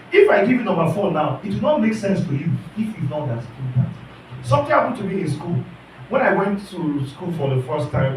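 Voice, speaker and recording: A man's voice: fairly high pitch, slight background noise. A man teaching an online class, earnest and repetitive, often checking in with his listeners. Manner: earnest, repeats phrases, asks the class questions. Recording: echoey room, voice from far off.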